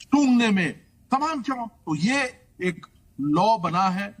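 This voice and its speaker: High-voiced man explaining law emphatically. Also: sing-song, slow, breathy edge. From Delhi, India. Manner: emphatic, point-by-point, code-switching into English legal terms. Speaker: legal expert guest. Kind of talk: explanatory legal commentary in an interview.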